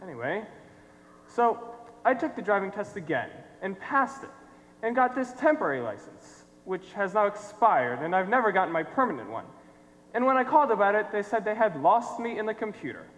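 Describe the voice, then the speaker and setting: Earnest, exasperated young male applicant played by a young man, stage comedy, lively pitch swings, reverberant hall. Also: brisk. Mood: earnest, frustrated. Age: teenager or young adult. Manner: earnest, pleading, increasingly frustrated. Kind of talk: comic stage play acting. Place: theatre stage, standing by floor mic. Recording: echoey hall from a distance.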